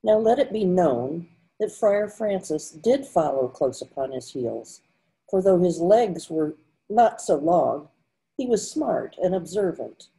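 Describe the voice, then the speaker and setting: An older woman reading scripted storybook narration in a measured, formal manner. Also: on the low side, animated, warm tone, poor audio. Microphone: computer mic on a video call.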